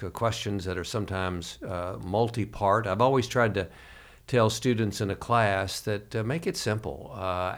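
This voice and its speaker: Guy hosting, gravelly low voice, lots of uhs and ums. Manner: hesitant, many fillers, friendly. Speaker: host. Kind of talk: interview.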